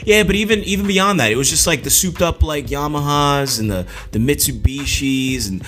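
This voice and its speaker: A man's voice: on the high side, lively intonation, normal speed, a bit bright, soft background music. Rambling, profane man in his forties. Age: 40s. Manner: rambling, loose and profane, heavy on "like". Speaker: podcast co-host. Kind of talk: casual podcast chat.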